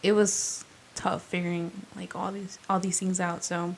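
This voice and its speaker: A woman's voice: on the low side, flat delivery, a little slow, gravelly, slight background noise. A young adult woman co-hosting a podcast in casual chat, talking with plenty of fillers and easy laughs. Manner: chatty, laughs easily, fillers like "like" and "um". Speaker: podcast co-host.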